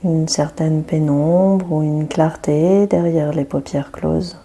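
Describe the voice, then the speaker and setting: Very slow, mellow, breathy woman guiding relaxation. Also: sits low. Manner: calm, gentle, unhurried instruction with long pauses. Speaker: yoga nidra guide. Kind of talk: guided relaxation meditation.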